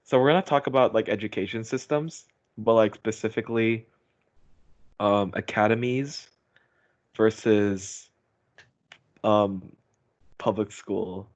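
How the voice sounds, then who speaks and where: A man's voice: fairly low pitch, measured pace, long pauses. A young man chatting casually and in a rambling, giggly way as a podcast host on a voice call. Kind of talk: casual chat. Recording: dull, lo-fi call audio.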